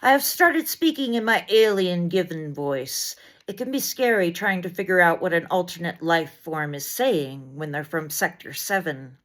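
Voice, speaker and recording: A woman reading poetry aloud as dramatic spoken word, in an intense, deliberate delivery of short, weighted phrases. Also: on the low side, animated, fairly bright tone. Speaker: poet reading poems aloud.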